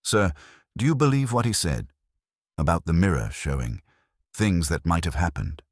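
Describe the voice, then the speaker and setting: A man's voice: low-pitched, expressive, normal speed, no room sound, studio quality. A man voicing a dutiful, matter-of-fact police sergeant in an audio drama, reporting plainly. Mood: earnest.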